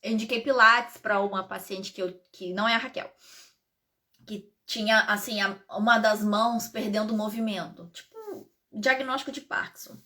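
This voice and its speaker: A Brazilian woman, an integrative therapist and pharmacist, chatting casually to live-stream viewers with a slightly hoarse voice and frequent asides. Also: mid-range voice, fairly even intonation, normal speed, sharp tone.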